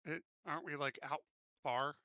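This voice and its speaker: A man's voice: on the high side, steady tone, normal speed, pushed and bright, no echo, lo-fi sound. A man chatting casually as a player in a tabletop roleplaying game. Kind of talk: casual chat.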